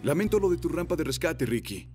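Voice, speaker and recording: A man's voice: fairly high pitch, sing-song, brisk. A man voicing a hearty, proud father rescue motorcycle in a children's cartoon, heroic and fatherly. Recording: music behind the voice.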